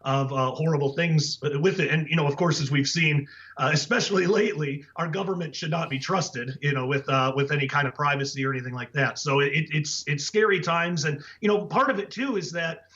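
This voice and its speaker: A man speaking as a guest author, hoarse and raspy after the flu, earnest and full of fillers and restarts. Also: on the high side, brisk, fairly bright tone. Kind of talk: casual interview talk. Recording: dull lo-fi audio.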